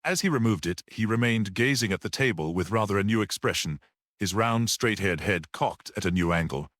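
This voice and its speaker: Low-voiced man narrating with lively intonation. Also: no echo, studio quality. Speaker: audiobook narrator. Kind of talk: expressive literary story narration.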